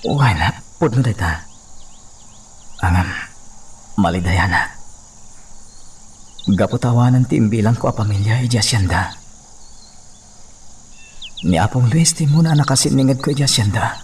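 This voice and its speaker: A man's voice: mid-range voice, lively intonation, moderate pace, long pauses, lightly acted. A man playing a humble, loyal, earnest hacienda worker in an Ilocano radio drama. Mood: grieving, respectful. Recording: faint noise, chirping crickets behind.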